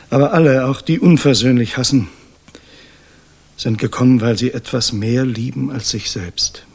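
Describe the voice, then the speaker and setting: An actor playing a grave, guilt-ridden idealist of the German resistance in a 1960s radio drama, speaking solemnly and deliberately with weighty pauses and a slightly husky voice. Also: male voice, medium pitch. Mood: confessional, fervent.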